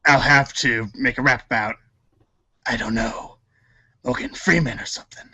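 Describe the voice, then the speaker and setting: A man's voice: medium pitch, steady tone, normal speed, bright, forceful tone, husky, dry recording. A man acting in a comic reader's theater show, goofing around and stumbling through his lines with ad-libs. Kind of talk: comic table read with ad-libs.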